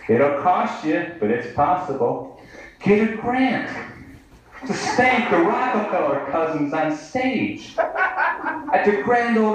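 An American man in his thirties reads poetry live in a sardonic, declamatory manner. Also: high voice. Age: 30s. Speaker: poet. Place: indoor bar venue, live reading. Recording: echoey live bar recording, distant mic.

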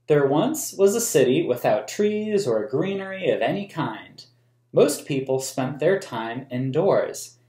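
An American man in his thirties reading a picture book aloud to children in a gentle, explanatory way. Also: a little high, big pitch swings, a little slow. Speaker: museum story time host. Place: small plain room indoors. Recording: roomy indoor echo.

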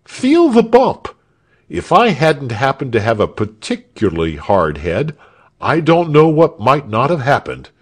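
A man's voice: medium pitch, wildly swooping pitch, measured pace, husky, pitched above the performer's own voice, full character voice. A man voicing a proud, fussily polite storybook father who is pompous and easily offended. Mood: aggrieved.

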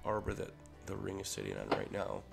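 Man, head cold, low gravelly voice, casual workshop tutorial, music behind. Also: a little fast. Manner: relaxed, with fillers and restarts. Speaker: maker showing a ring build. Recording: voice-over with clear music behind.

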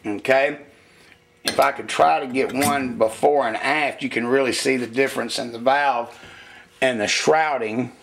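A man's voice: medium pitch, steady tone, a little slow, vocal fry, a bit bright, slight background noise. A man talking casually as he demonstrates engine head porting at a workshop bench, in a plainspoken, folksy way. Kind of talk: hands-on shop demonstration.

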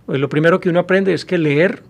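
A man in his fifties speaks with a Colombian Spanish accent as a professor being interviewed. He pauses often and restarts his sentences as he thinks. The sound is close and clean from a studio microphone. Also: high voice. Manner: thoughtful, many hesitations and restarts. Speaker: university professor guest. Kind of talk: interview answers. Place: small studio with blue backdrop. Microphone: studio mic on boom arm.

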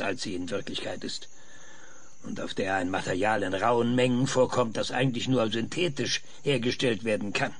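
An actor playing an older, insistent professor with a raspy voice in a German radio drama, explaining things emphatically and dramatically. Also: male voice, fairly low pitch, close to an everyday voice. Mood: eager explaining. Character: frail, excitable old male professor, insistent and visionary. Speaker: professor being interviewed.

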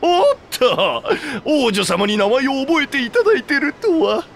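A man's voice: extremely high pitch, sing-song, unhurried, gravelly, a bit bright, heavily acted. A man voicing an arrogant monstrous reptilian elder brother in a game, sneering with theatrical mock politeness. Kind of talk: video game cutscene acting.

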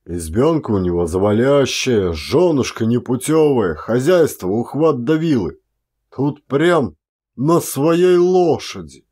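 A garrulous Siberian peasant man, acted by a male audiobook reader, spins his tale in folksy, rambling talk. He is indignant and exclamatory, yet takes his time over the words in a mid-pitched voice. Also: animated, slow pace, dark tone, husky. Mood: excited gossiping. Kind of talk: one-actor audiobook character acting.

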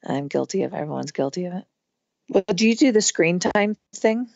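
A woman in her thirties with a hoarse, strained voice, chatting casually as a podcast guest with lots of fillers. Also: fairly low pitch, animated, moderate pace, darkish tone, no room sound, dull audio. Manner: chatty, rambling with many fillers. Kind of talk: casual conversation.

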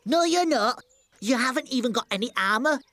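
A woman voicing a boastful, teasing little village boy in a children's animated series, with a taunting, childlike manner. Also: fairly high pitch, brisk, slight background noise. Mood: defiant.

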